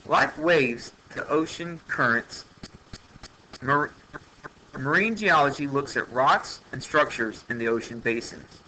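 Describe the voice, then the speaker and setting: A man's voice: mid-range voice, bright, forceful tone, slight background noise, rough recording. A man reading an educational science text aloud like a teacher, in a plain manner with occasional stumbles. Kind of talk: educational read-aloud narration.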